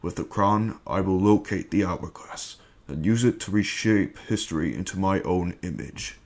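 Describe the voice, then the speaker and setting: A man acting in an amateur dramatic reading as a scheming, menacing villain bent on conquest, speaking in a measured, formal, commanding way. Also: low-pitched. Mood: menacing, imperious. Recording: music bed under the voice.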